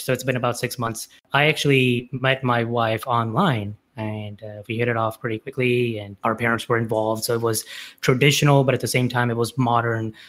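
A man in his late twenties leads a casual podcast conversation at a middle pitch. He talks in a relaxed, measured way. He keeps his questions short. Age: 20s. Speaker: podcast host.

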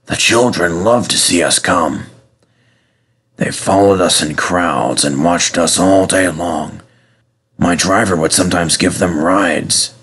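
A man voicing a gentle, melancholy old-fashioned traction engine in a storybook, speaking slowly and simply with a wistful manner. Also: low voice, breathy edge, voice pushed down, heavily acted. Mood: wistful, fond.

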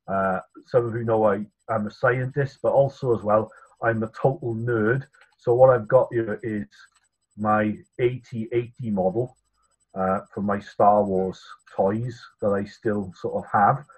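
A Welsh priest in his thirties talks to schoolchildren from his study over a laptop webcam. His voice is slightly husky and sits at an ordinary pitch, and his delivery is chatty and self-deprecating, with many filler pauses. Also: rough recording. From Wales. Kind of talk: school assembly talk. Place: home study, indoors. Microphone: laptop webcam on video call.

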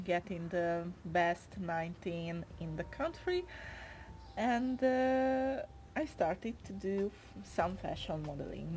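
A young woman with a Hungarian accent speaks English, her second language, in a soft, hesitant way, pausing often and reaching for words. Her voice sits fairly low for a woman, and she talks at an unhurried, drawn-out pace. A faint noise runs behind her. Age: 20s. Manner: hesitant, with frequent pauses and fillers. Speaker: interviewee. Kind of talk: casual interview answers.

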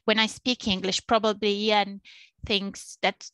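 Italian-accented woman, gravelly and earnest. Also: medium pitch, little pitch movement, measured pace, a bit bright, no echo. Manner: earnest, explaining, repeats points for emphasis. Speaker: interpreter and Chinese interpreting teacher. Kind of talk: webinar panel talk. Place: small home office. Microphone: headset on a video call.

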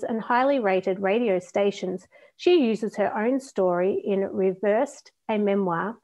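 A woman speaking as a podcast host, introducing and interviewing a guest. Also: medium pitch.

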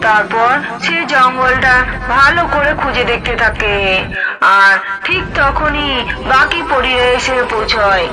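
A woman's voice: high-pitched, unhurried, music in the background, low-quality recording. A woman giving scripted narration for a fairy-tale cartoon.

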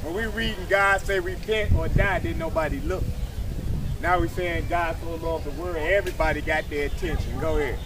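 A man with an American accent preaches out in the open in a high-pitched voice. He is confrontational and mocking, repeating phrases and prompting his readers in call-and-response. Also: fairly even intonation, normal speed, noisy background. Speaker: street preacher leading readers. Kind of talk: impromptu outdoor street preaching. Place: outdoors at a waterfront park walkway. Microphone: camera several feet away.

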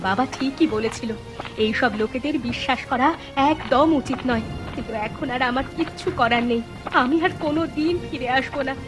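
Woman acting an aggrieved wife, high-pitched. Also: natural voice. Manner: emotional, melodramatic protesting. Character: aggrieved, defiant wife of a drunkard. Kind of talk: melodramatic cartoon dubbing. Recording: music behind the voice.

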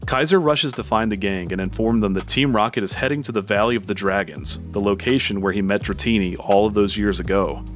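A man's voice: on the low side, brisk, hardly any pauses. A man reading scripted narration for a video, with music behind him. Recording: music under the voice. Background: background music.

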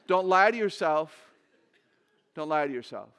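Older lady with a really low voice telling funny stories at a church conference, big stage. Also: measured pace, clean tone. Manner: animated, humorous storytelling. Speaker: conference speaker. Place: large stage.

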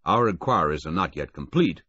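A businesslike middle-aged official, as a narrator puts him on, raised above the reader's own low register. He talks in a formal, measured way with a husky edge. The sound is dry and a bit muffled. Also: lively intonation, bright, forceful tone, dry recording, full character voice. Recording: dull lo-fi, dry close audio.

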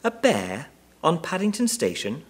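A man voicing a fussy, well-meaning married gentleman in a storybook, with a proper, slightly hesitant manner. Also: mid-range voice, big pitch swings, brisk, husky. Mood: amazed, insistent.

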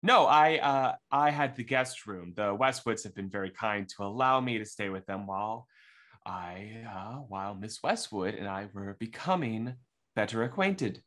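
A man's voice: lowish voice, lively intonation, moderate pace, a bit bright. Man acting flustered, stammering young suitor. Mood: nervous, defensive. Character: nervous, stammering, eager-to-please young suitor. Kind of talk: radio mystery acting, live online table read.

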